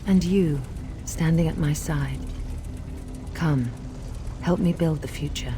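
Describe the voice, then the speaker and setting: An actress playing a solemn, resolute elven queen in a fantasy game, speaking in a formal, stately, measured way. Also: deep voice, noisy background. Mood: solemn, hopeful. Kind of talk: scripted fantasy game voice acting. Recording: music behind the voice.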